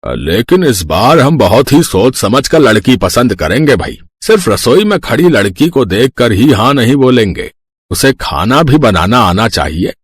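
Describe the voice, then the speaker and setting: A man voicing a grumbling middle-aged father-in-law in a cartoon family story, with a husky voice. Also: medium pitch, very animated, measured pace, no echo. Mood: firm. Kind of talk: Hindi cartoon story dialogue.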